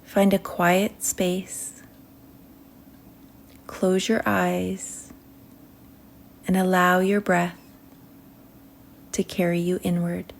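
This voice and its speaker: A woman leading a guided meditation in a soothing manner, speaking in short phrases with long pauses that trail softly toward a whisper. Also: on the low side, flat delivery, creaky.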